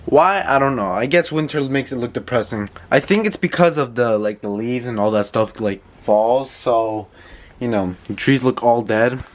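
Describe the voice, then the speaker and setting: A man's voice: mid-range voice, normal speed. A teenage boy from New York rambling in a casual home vlog, with a congested, nasal voice and lots of fillers. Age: teens. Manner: rambling, filler-heavy, self-conscious. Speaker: vlogger. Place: home, indoors.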